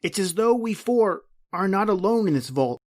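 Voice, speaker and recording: An actor playing a polite, deferential young fiancé growing uneasy, in old-time radio drama style. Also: male voice, sits high, animated, normal speed, darkish tone.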